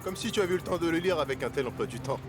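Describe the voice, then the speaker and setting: A man's voice: fairly high pitch, lively intonation, very fast, natural voice. An actor as a wry, bookish male uncle. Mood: wry, disappointed. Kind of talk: cartoon dialogue. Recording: music behind.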